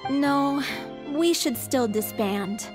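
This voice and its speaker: Frail unlucky young performer girl in a game, high voice, modest n tired, soft music behind. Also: animated, takes it slowly. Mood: weary. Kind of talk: scripted video game voice acting.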